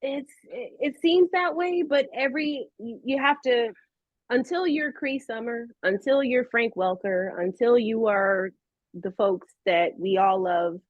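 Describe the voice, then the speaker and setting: An American woman chatting casually as an interview guest, expressive, earnest and effusive in how she talks. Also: fairly high pitch, a little slow, no echo, muffled recording. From California, USA. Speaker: voice actress, podcast guest.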